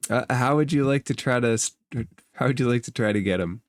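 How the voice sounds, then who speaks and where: A man with an average-pitched voice acts as game master, describing scenes and calling for rolls. His delivery is informal and halting, and the sound is close and dry. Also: dry recording. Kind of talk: narrating a tabletop game, conversational.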